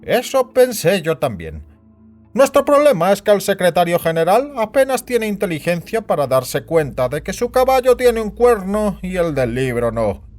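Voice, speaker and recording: A high, very animated voice for a scheming, melancholy boss acted by a man in an audio drama. He is bossy and worried, delivering lines with deliberate theatrical authority. Music plays underneath. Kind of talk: scripted Spanish audio drama acting. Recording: music bed behind the voice.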